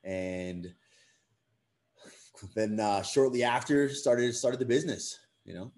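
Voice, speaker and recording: A man's voice: mid-range voice, takes it slowly, darkish tone. A man in his thirties from the western US, a friendly podcast guest who laughs easily. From western USA. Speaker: guest, business co-owner. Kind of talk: casual chat.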